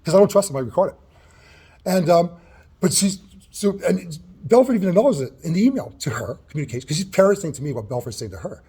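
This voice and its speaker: A man in a heated in-person complaint at a city office, loud and agitated, talking over others and swearing, caught on a hand-held recording. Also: high-pitched, lively intonation, fast talker, breathy edge. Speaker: tenant. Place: city hall office, indoors.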